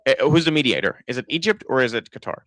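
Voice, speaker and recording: Israeli man with an American-sounding accent, dry and bright. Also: medium pitch, animated, fast talker, dry recording. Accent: American-sounding English. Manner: thinking aloud, many restarts and fillers. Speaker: political commentator. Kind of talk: live-stream commentary reading chat.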